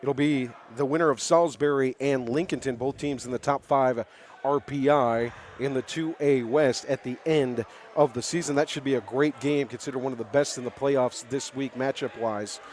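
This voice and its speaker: A man's voice: medium pitch, fairly even intonation, moderate pace, a little mellow. An American man working as a radio sports announcer, hosting a live post-game interview and recap. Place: high school basketball gym. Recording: live broadcast with clear background noise.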